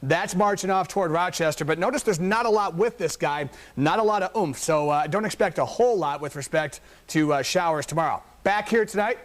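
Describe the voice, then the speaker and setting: A man's voice: sits high, a little fast, hardly any pauses. A man giving a TV weather forecast in an upbeat, chatty, enthusiastic manner from a news studio. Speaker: chief meteorologist. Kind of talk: TV weather forecast, conversational broadcast.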